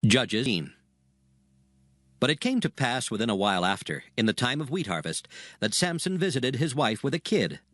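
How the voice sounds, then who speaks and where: A man narrating scripture as a solemn, measured storyteller in a dramatized audio production. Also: medium pitch, big pitch swings, a bit bright.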